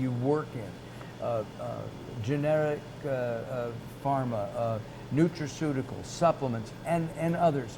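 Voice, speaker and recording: Male interviewer, clear background noise. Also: mid-range voice. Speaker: interviewer and series host. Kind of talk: hosted interview, conversational questions.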